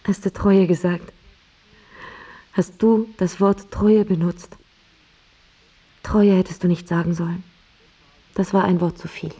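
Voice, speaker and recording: An actress playing a fed-up wife in a radio drama, coolly rational and unsparing, with a husky voice. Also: female voice, fairly low pitch, steady tone, measured pace, pauses often, dark tone. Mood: cutting.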